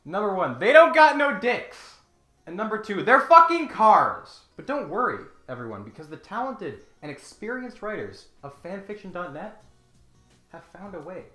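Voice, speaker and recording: Male comedy host, sarcastic, mock-grandiose, highly animated pitch, bright tone, roomy sound. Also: normal speed, soft background music. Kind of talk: scripted comedic critique with dramatic readings.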